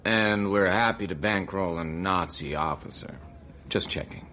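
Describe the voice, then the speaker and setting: American intel officer, deep voice, understated and wry, bit hesitant, radio drama. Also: male voice, measured pace, pushed and bright. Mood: dry. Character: measured, dry, deferential American intelligence officer.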